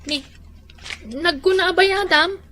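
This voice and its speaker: An actress playing a patient adult daughter, soothing and gently scolding in a radio drama. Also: female voice, very high voice, takes it slowly, slight background noise, heavily acted. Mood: apologetic. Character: patient adult daughter calming her grumpy father. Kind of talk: Filipino radio drama acting.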